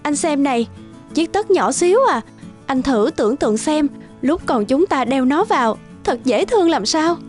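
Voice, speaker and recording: A woman playing a gentle, anxious young expectant mother in a dubbed cartoon drama. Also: sits high, expressive, normal speed, faint music behind. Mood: tender, happy.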